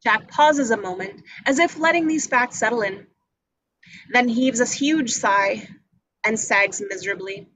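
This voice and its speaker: A woman's voice: on the high side, unhurried, background noise. A woman reading scripted action descriptions as narrator at a live table read.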